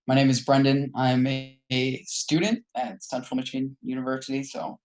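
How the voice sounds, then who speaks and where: A man's voice: mid-range voice, monotone, moderate pace, a little mellow, low-quality recording. A man of twenty-one, a university student, introducing himself in an eager, hesitant way. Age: early 20s. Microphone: video call.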